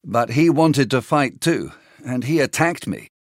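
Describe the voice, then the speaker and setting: A woman's voice: rumbling low, lively intonation, a little slow, dry recording, clean studio sound, voice pushed down, exaggerated voice. A woman voicing Benvolio, an earnest, helpful young man who explains and reassures, in a storybook reading.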